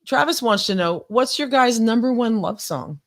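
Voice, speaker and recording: A woman's voice: a little high, animated, normal speed. A woman in her fifties co-hosting a live podcast, chatting casually and reading viewer comments aloud, laughing often and swearing freely. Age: 50s. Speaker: podcast co-host.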